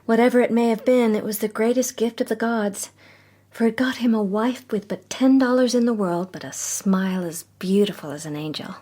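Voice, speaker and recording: A woman's voice: fairly high pitch, moderate pace, dark tone, close to an everyday voice. An actress playing a young, loyal and proud society woman detective in a radio drama, speaking in a refined, heartfelt way. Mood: earnest, reflective.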